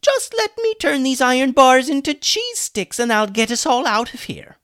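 A man's voice: high-pitched, wildly swooping pitch, measured pace, no room sound, pitched above the performer's own voice, heavily acted. A man doing a sarcastic chicken wizard. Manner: sarcastic, dry mocking.

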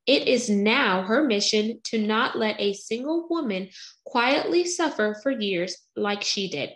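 A woman hosts a podcast interview, bubbly and playful with a sing-song lilt. Her voice sits fairly high. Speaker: podcast host.

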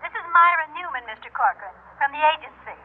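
Brisk agency lady, tinny telephone. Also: sits high, expressive. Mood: businesslike. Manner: formal, brisk, conciliatory. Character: polite, businesslike female adoption agency worker. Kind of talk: classic radio drama acting. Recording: tinny telephone voice, noisy old radio.

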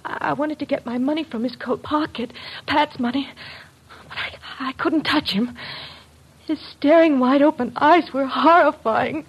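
A woman's voice: high voice, a little slow. An actress playing a frightened young woman under suspicion, pleading in melodramatic old radio mystery style. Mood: shaken, horrified. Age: young adult. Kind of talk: 1940s-50s radio mystery acting. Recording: dull old radio recording.

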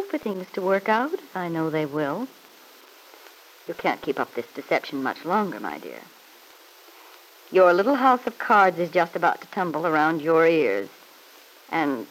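A woman's voice: low-pitched, slight background noise, low-quality recording. An actress playing a smug, needling married woman in an old-time radio soap opera, with an arch, mock-sweet, taunting manner.

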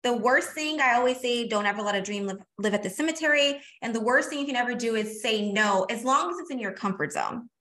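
A woman guest on a podcast, chatty and energetic, talking casually and calling the host "girl". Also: on the high side, brisk, hardly any pauses. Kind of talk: casual interview chat.

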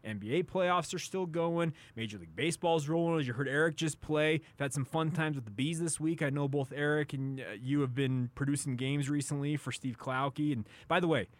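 A man's voice: fairly high pitch, a little fast, hardly any pauses, no room sound. A man hosting a sports radio show in an upbeat, informal, chatty way. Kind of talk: casual sports talk radio chat.

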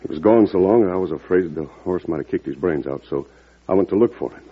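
Deep, husky, folksy old-radio farmer. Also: male voice. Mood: folksy storytelling. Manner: folksy, halting, deferential. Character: humble, plainspoken farmer, neighbor and father. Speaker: actor. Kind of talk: old-time radio drama acting. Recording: tinny, band-limited vintage radio recording.

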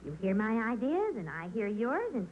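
An American actress playing a sensible, long-suffering, wry middle-aged wife in a 1940s radio comedy. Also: female voice, on the high side, wildly swooping pitch, normal speed, narrow, tinny audio. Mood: reasonable. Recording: noisy old radio broadcast recording.

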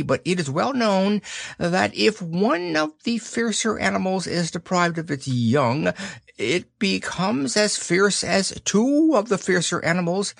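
Man doing a timid little piglet, high and jumpy, nervous, lively pitch, dry sound. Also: creaky, dry recording, exaggerated voice. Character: small timid young pig, anxious.

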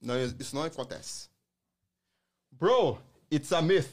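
A man's voice: fairly high pitch, animated, measured pace. A man from Brazil with a strong Rio accent, a guest in a casual podcast chat, talking emphatically. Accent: carioca. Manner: emphatic, swears he never felt prejudice.

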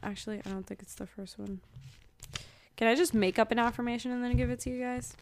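A woman's voice: on the high side, fairly even intonation, fast, a little mellow, a little hiss or hum. A young woman rambling through a weekly oracle card reading in a casual, chatty way, full of ums and asides. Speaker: spiritual podcaster.

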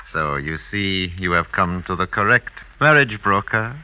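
An actor playing a smooth-talking, formal French marriage broker, eager to please, in 1950s radio drama style. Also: male voice, sits low, expressive, unhurried, sharp tone, full character voice. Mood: ingratiating. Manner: formal, ingratiating salesmanship. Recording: muffled vintage radio recording.

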